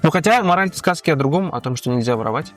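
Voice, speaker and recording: A man's voice: a little high, animated, fast, fairly bright tone, faint music behind. A man co-hosting in casual chat, quizzing his partner in a teasing, colloquial way. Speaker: co-host and quizmaster of a Tatar language-learning show. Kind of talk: casual chat, giving quiz hints.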